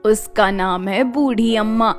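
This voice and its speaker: Woman voicing a low, knowing old fairy. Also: a little slow, soft background music, close to an everyday voice. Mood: knowing, mysterious. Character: old fairy giving mysterious advice. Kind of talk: cartoon fairy-tale acting.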